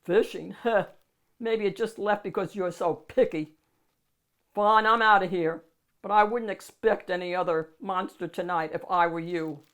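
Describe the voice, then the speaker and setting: A woman's voice: lowish voice, unhurried, a bit bright. A woman putting on a big, whiny, slurping monster. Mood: sulky. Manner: whining, slurping, mock-threatening. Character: big scruffy male monster, whiny, trying to menace.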